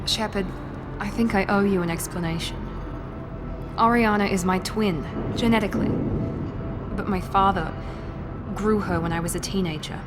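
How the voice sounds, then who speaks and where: Music plays behind a poised woman operative voiced by an actress in a video game. She speaks in a controlled, clipped, serious way, guarded and protective, at a medium pitch. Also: normal speed, pauses often, close to an everyday voice. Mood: confiding, pained. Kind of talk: video game dramatic acting.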